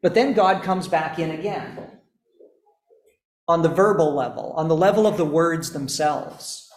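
A man teaching a class, explaining slowly and deliberately with pauses. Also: a little high. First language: not English, also speaks Estonian. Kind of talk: classroom lecture. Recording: echoey room.

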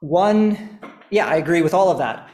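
A man teaching a class, explaining slowly and deliberately with pauses. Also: a little high, lively intonation, a little mellow. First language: not English, also speaks Estonian. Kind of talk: classroom lecture. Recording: echoey room.

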